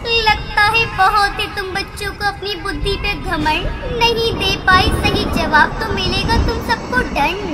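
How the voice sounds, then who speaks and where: A woman's voice: very high-pitched, heavy background noise. A woman voicing a menacing female ghost bird in a cartoon, childlike in tone and speaking in threatening rhymes. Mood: taunting, imperious. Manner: slow, rhyming, threatening delivery. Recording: loud background music under voice.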